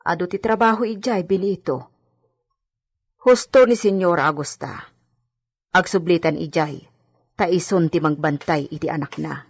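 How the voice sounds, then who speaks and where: A woman's voice: fairly low pitch, measured pace, long pauses, breathy edge, no room sound. A woman acting a stern, scolding older female household servant in an Ilocano radio drama. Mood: firm.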